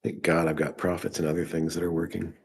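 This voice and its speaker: A man hosting a live trading show, thinking aloud as he works, his voice hoarse and fading. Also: sits low, completely flat delivery, fast talker. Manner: thinking aloud while working on screen.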